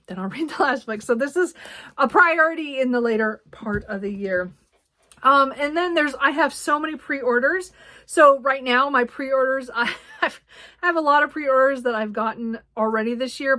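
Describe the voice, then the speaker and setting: Casting: female book vlogger, higher-pitched voice, informal and chatty, effusive and giggly, wandering delivery. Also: sharp tone. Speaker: book reviewer and vlog host. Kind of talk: casual, chatty book talk to camera.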